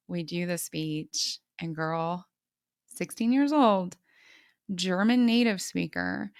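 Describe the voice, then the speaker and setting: Enthusiastic podcast host woman, gravelly. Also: medium pitch, dry recording, clean studio sound. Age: 30s. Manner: enthusiastic storytelling. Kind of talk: casual conversational interview.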